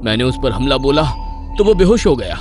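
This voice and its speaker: A man's voice: mid-range voice, very animated, fairly quick, music in the background, lightly acted. A man voicing a frightened male lion who was beaten, whining and fearful. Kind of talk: cartoon dubbing.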